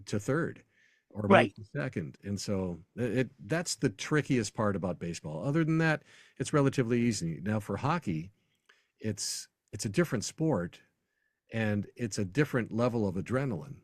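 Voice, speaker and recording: American guy chatting sports stories, lowish voice, dry close sound. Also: expressive, moderate pace, dry recording. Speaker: guest, sports public address announcer. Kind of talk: casual sports interview, anecdotal.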